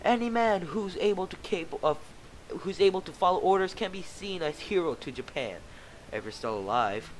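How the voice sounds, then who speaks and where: A man's voice: high voice, animated, normal speed, slight background noise, pitched above the performer's own voice, low-quality recording. A man voicing a fanatical, ruthless male soldier recruiting students and barking orders. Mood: bellowing.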